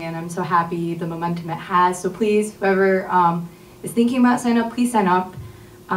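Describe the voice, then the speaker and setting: A young woman, the featured poet, speaking at a podium mic in a community arts center, introducing herself and then reading a spoken word poem from her phone in a measured way. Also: fairly low pitch, slight background noise. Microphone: podium mic on a stand. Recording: echoey room, mic heard from a distance.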